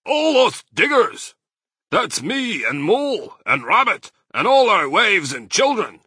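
A man voicing an excitable, despairing badger father in a children's storybook, blustering and emphatic. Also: sits high, takes it slowly, husky, no room sound, clean studio sound, pitched above the performer's own voice, heavily acted. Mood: frantic, despairing. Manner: blustering, agitated, emphatic delivery.

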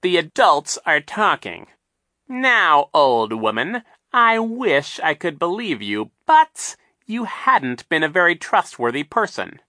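A man voicing a scheming, mocking villain captain who talks slowly and with menace. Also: fairly high pitch, sing-song, pushed and bright, dry recording, clean studio sound, voice pushed up, heavily acted. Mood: cold. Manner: mocking, dismissive, slow menacing delivery. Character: sneering scheming male villain, sea captain.

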